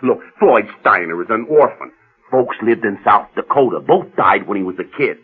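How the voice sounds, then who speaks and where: A man's voice: on the low side, lively intonation, moderate pace, husky. A man acting in a radio drama as a blunt, impatient, slangy political party boss, heard on a dull old radio recording. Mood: eager.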